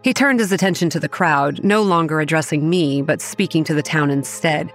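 An actress playing a proud, combative young woman who narrates her own horror audio drama in a stern, measured way. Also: low voice, soft background music. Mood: tense narration. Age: young adult. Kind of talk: first-person dramatic narration with dialogue.

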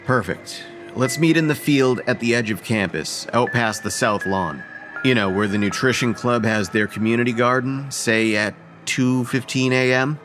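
Male actor as practical young student planner, medium pitch, music underneath, audio drama. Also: normal speed, close to an everyday voice. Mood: businesslike. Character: organized, matter-of-fact male college student planner.

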